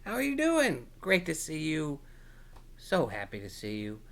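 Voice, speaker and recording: A man hosting a storytime, chatting casually and playfully with young children in an encouraging, halting, rambling way. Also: on the high side, huge pitch swings, gravelly. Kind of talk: casual read-aloud chat to young children.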